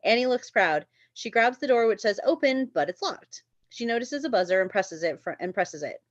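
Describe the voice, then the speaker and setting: A woman reads screenplay stage directions as narrator. Her voice is fairly high, and the sound is dry and a little muffled. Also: expressive, normal speed, dry recording.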